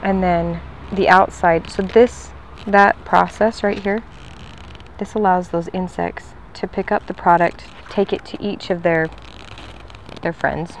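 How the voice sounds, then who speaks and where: A woman's voice: on the low side, fairly even intonation, measured pace, a little hiss or hum, low-quality recording. A woman going door to door selling pest control, talking in a friendly, upbeat and talkative way at a front door outside. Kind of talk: sales pitch at a front door. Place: outdoors at a house door.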